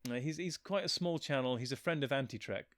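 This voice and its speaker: A man's voice: medium pitch, fast, fairly bright tone, no echo. Man chatting casually, dry close sound. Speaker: interview guest, writer. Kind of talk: casual chat.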